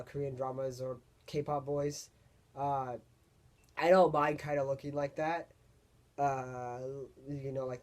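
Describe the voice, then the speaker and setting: A young man with a deep voice, talking casually to camera in a rambling, hesitant way as he searches for words. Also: darkish tone. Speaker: vlogger. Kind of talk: casual personal vlog monologue.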